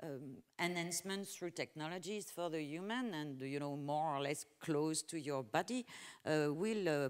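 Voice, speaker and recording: A woman with a notably low voice moderates a tech panel, stopping often with fillers and restarts as she builds her questions. Her voice carries a long hall reverb, heard from a conference stage. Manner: halting, many fillers and restarts.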